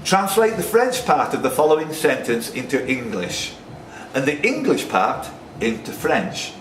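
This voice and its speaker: A man's voice: medium pitch, sing-song, measured pace. A man hosting a quiz, reading out questions to players and dropping in jokey asides. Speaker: quiz host and question setter. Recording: noisy, echoey room recording.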